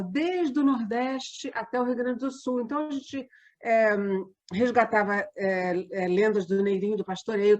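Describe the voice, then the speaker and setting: An older woman speaks in a fairly high voice with a softened Rio de Janeiro accent. She talks casually as an interview guest, drifting into anecdotes in a conversational way. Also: dull audio. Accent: softened Rio de Janeiro Brazilian Portuguese. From Rio de Janeiro, Brazil. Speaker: interview guest, radio drama performer and producer.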